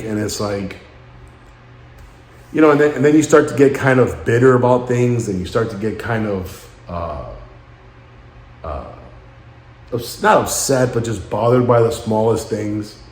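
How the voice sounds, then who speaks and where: Guy late 30s vlogging his thoughts, kinda low voice, halting and somber, echoey room sound. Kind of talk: reflective personal vlog monologue.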